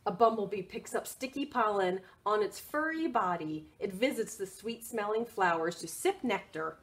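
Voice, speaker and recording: A woman reading a picture book aloud for children's storytime, expressive and sing-song, prompting young listeners with questions. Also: mid-range voice, moderate pace, a little hiss or hum.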